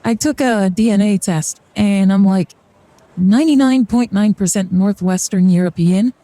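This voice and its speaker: A woman's voice: medium pitch, mellow, dry recording. A young woman streamer chatting casually and joking through a card reading on a live stream. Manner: rambling and joking, with fillers and short laughs. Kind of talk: casual livestream chat during a card reading.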